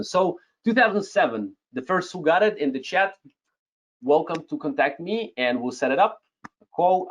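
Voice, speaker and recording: A man in his thirties whose first language is Russian, teaching a live webinar with a Russian accent. He talks straight to the chat, tags his points with "yes?" and chuckles now and then. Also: on the high side. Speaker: webinar host, Amazon seller coach. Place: home room, indoors. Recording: slightly dull lo-fi webcam audio.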